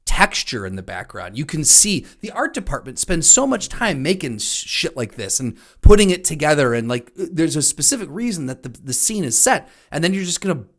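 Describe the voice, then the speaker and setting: A man's voice: on the high side, big pitch swings, moderate pace, few pauses. Exasperated, foul-mouthed man on a podcast. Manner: profane, exasperated venting. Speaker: podcast co-host. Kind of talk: casual chat about movies.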